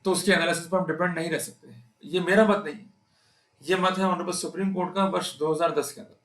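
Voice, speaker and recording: A man's voice: on the high side, a bit bright. A man with an Indian accent giving an explanatory law lecture, speaking emphatically and addressing his listeners directly. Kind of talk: explanatory law lecture to camera, Hindi mixed with English. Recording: echoey, reverberant room.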